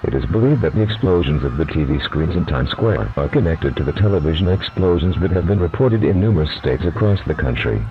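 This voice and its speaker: Male emergency announcer, extremely low, formal synthetic read, dull noisy broadcast sound. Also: animated, fairly quick, hardly any pauses. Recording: muffled lo-fi broadcast with clear noise.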